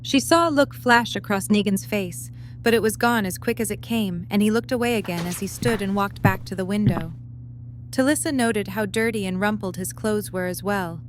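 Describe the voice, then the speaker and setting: A woman's voice: medium pitch, normal speed. A woman reading scripted story narration as the narrator of an audio drama. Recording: faint background hum and noise.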